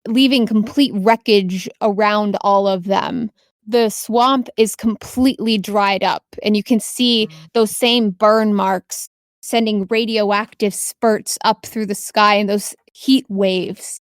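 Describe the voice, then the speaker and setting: A woman's voice: mid-range voice, fairly even intonation, takes it slowly, dry recording, studio quality. A woman running a tabletop game as game master, improvising narration and descriptions for her players.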